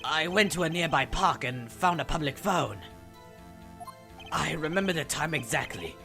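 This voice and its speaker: Game witness acted by a fan dubber: shifty salesman, forceful bright tone, lively intonation, theatrical. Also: medium pitch, moderate pace, gravelly, soft background music. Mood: recounting testimony. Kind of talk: fan-dub game voice acting.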